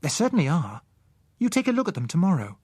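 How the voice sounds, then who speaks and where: A man voicing a thoughtful, slightly uneasy wife in an audiobook story, reasoning with gentle concern. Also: on the high side, huge pitch swings, fast talker, breathy edge, dry recording, pitched above the performer's own voice, heavily acted. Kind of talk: audiobook character acting.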